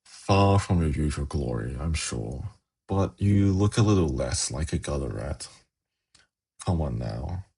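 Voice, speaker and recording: Man playing a gravelly, very deep-voiced demon prince. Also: animated, warm tone, exaggerated voice. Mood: teasing. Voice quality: very low, gravelly, husky. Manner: slow, coaxing, teasing. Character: suave male demon prince, teasing, coaxing, gently menacing. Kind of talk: ASMR fantasy roleplay, intimate monologue to listener.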